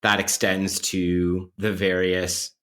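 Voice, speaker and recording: A man's voice: deep voice, flat delivery, takes it slowly, a bit bright. A man co-hosting a podcast, talking in a casual academic way with bookish words and wry asides. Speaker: podcast co-host.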